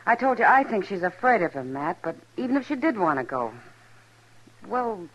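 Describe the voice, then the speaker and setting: A woman's voice: medium pitch, very animated, moderate pace. An actress playing a worried, headstrong frontier woman in a radio western, speaking earnestly and insistently. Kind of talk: old-time radio western acting. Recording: muffled vintage radio recording, faint noise.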